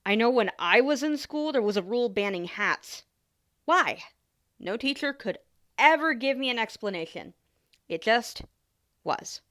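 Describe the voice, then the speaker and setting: A woman's voice: fairly high pitch, lively intonation, unhurried, long pauses, fairly bright tone. Woman acting as a teasing, caring big sister. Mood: frank, exasperated. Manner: playful, informal, sighs and small laughs. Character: teasing, caring older sister, young adult, protective and candid. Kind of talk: ASMR roleplay, casual one-sided chat to the listener.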